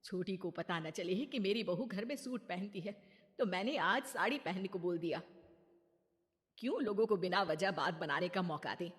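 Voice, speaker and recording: A woman voicing a kind, understanding middle-aged mother-in-law who speaks gently and reassuringly. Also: lowish voice, moderate pace, big-room sound, lightly acted. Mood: gentle.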